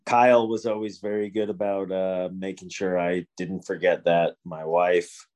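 A man's voice: low-pitched, little pitch movement, normal speed, darkish tone. Nasal man with an upstate New York accent. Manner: easygoing, self-deprecating. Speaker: podcast host. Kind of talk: casual chat.

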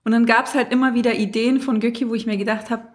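A woman's voice: a little high, steady tone, fast talker. A woman in her late twenties, a podcast guest speaking candidly in casual chat. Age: 20s. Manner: candid, laughs easily.

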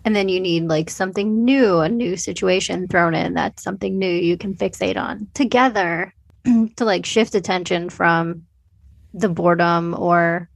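A woman's voice: on the low side, vocal fry, no echo. A woman co-hosting a podcast, chatting informally and hedging as she goes, with lots of "like". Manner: informal, hedging, many uses of "like". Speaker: podcast co-host. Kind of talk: casual chat.

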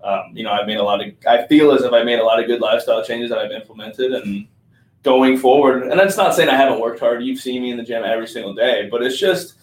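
A man's voice: medium pitch, quick pace. A young man in his twenties talks candidly and reflectively, hedging with many fillers. His medium voice bounces around an echoey room. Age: 20s. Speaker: guest athlete. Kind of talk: candid podcast chat.

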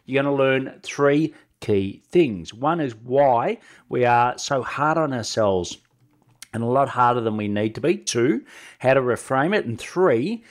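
A man's voice: medium pitch, lively intonation, measured pace. Nasal middle-aged man hosting a podcast. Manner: direct address with frequent tag questions like "right?". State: has a head cold. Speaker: podcast host and sales coach. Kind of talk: conversational solo podcast advice.

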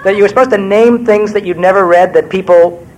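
An older New man with a slightly raised pitch talks through his craft in a wry, meandering way. He pokes fun at himself as he digresses. A faint low rumble sits under his voice. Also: fairly quick, darkish tone, low-quality recording. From New York. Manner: wry, digressive, self-deprecating. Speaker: screenwriter guest speaker. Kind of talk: Q&A talk to an audience.

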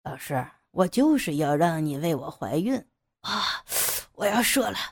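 A woman voicing a forceful, gloating adult man in a lowered, breathy voice. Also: animated, unhurried. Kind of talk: audio drama reading.

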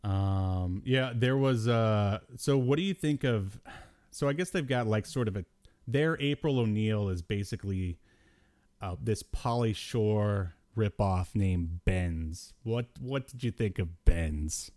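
A man hosting a podcast, chatting casually about old cartoons in a halting, hesitant way. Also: lowish voice, darkish tone. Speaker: podcast host.

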